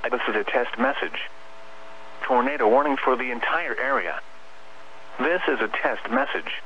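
A man reading a scripted emergency alert as a weather radio announcer, formal, measured and impersonal, over the radio. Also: mid-range voice, animated, pushed and bright, lo-fi sound. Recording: noisy weather radio broadcast.

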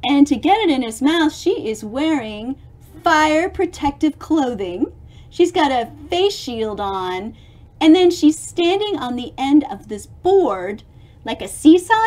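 A middle-aged woman reading a picture book aloud to young children, expressive and theatrical, pausing to explain things with dramatic emphasis. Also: high voice.

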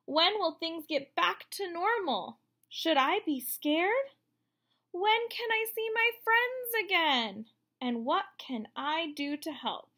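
A woman in her thirties reading a picture book aloud to children, explaining as she goes in an expressive way. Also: extremely high pitch, slow. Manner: expressive, explanatory, addressing young listeners. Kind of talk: read-aloud storytelling for children with asides. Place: room at home, indoors.

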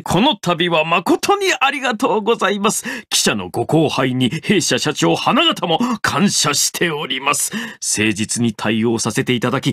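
A male actor playing a harried middle-aged sales manager in a video game, fussy and excitable, with stiffly polite office speech. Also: fairly high pitch. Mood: formally grateful. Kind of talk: theatrical Japanese game voice acting.

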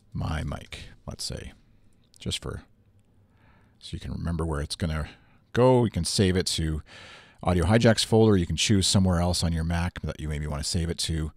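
A young man with a bassy voice, talking through a software tutorial in a relaxed way with plenty of fillers, on a studio microphone. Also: vocal fry, dry recording. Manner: relaxed explaining with frequent fillers. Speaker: tutorial presenter. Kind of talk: casual screen-recorded software tutorial. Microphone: studio microphone through USB interface.

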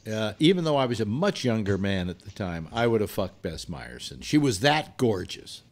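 Older host on video call, lively pitch, keeps cutting in, says wait a minute a lot. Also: male voice, moderate pace. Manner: animated, interrupting, restarts often. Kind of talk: casual panel chat on a live video call. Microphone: webcam on a video call.

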